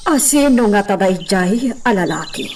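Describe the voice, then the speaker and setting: Woman acting a lively-pitched, distant-sounding señora. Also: normal speed, natural voice. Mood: puzzled. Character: well-off señora, grieving mother at her child's grave. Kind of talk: Ilocano radio drama acting. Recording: distant, noisy track.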